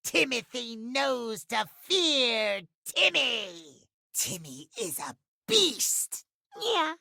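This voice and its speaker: A man's voice: extremely high pitch, big pitch swings, very slow, gravelly, bright, forceful tone, no room sound, studio quality, heavily acted. A male actor voicing a boastful, feral male house cat in a video game, clipped and menacing. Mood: boastful, possessive. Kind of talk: video game character acting.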